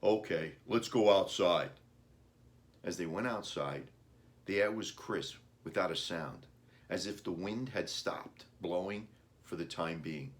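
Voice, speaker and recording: A man with a low voice narrates a children's story. He reads scripted prose aloud as the book's author. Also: measured pace, fairly bright tone. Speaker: author reading own book.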